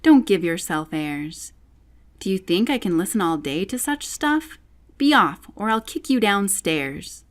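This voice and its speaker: A woman's voice: mid-range voice, very animated, unhurried. A woman plays a cantankerous old father in storybook verse. He is boastful and brusque, declaiming his rhymes with little patience. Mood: impatient.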